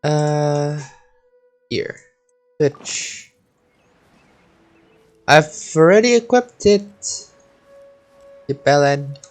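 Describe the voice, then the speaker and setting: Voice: medium pitch, expressive, extremely slow, long pauses, soft background music. A video game streamer giving casual gameplay commentary, thinking aloud and muttering with the odd swear word.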